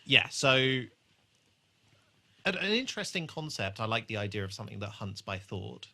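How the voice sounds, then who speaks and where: A man with a clear-cut, bright voice co-hosts a podcast. He reviews television in loose, conversational talk, peppered with swearing. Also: lowish voice, very animated, moderate pace. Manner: informal, sweary. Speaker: podcast host. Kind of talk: casual chat reviewing a TV episode.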